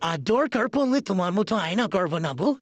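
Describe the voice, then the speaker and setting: A man's voice: high voice, lively intonation, fast talker, no room sound. A man voicing a boastful, lovestruck male political leader in a comic cartoon parody, wooing and bragging in exaggerated style. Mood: boastful, menacing.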